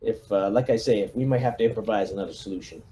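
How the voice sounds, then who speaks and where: A man's voice: fairly low pitch, fast, mellow, a little hiss or hum. A man leading a squad in a tabletop roleplaying game, briefing it with military jargon and command phrasing over an online call.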